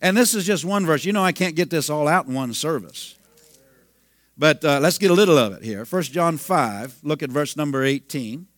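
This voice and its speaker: Pastor, male, 50s, fairly high voice, storytelling sermon with shouted bursts, dry recording. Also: expressive, normal speed, no room sound.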